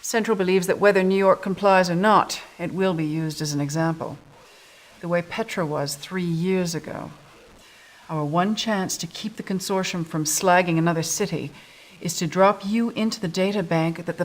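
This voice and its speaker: A woman's voice: lowish voice, steady tone, moderate pace, natural voice. A woman in her thirties playing a stern, businesslike resistance officer, giving a serious briefing in a video game cutscene. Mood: grave, urgent. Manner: serious, clipped briefing delivery.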